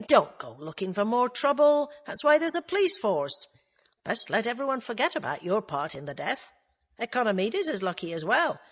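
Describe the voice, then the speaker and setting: Woman doing a calm young male detective. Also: a little high, normal speed, husky, pitched above the performer's own voice, heavily acted. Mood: advising.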